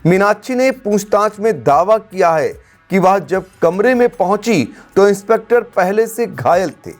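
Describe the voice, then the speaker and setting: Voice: medium pitch, lively intonation, normal speed. A news narrator reading a scripted crime report in a dramatic, emphatic manner. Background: background music.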